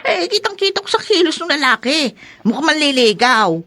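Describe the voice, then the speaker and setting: A woman's voice: high voice, big pitch swings, a little fast, pushed and bright, heavily acted. A woman playing a gossipy, teasing middle-aged neighbour in a Filipino audio drama, insistent in manner. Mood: teasing, confiding.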